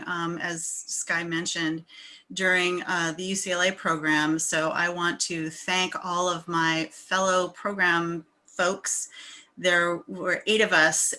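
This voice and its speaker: Bright, lowish-voiced woman, halting delivery. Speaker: screenwriter introducing own script. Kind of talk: casual informal introduction.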